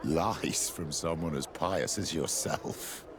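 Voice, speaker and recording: A man voicing a stern senior cleric in a video game, speaking in a measured, formal, reproving way. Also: extremely low pitch, a crowd in the background, background noise. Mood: reproving, calm.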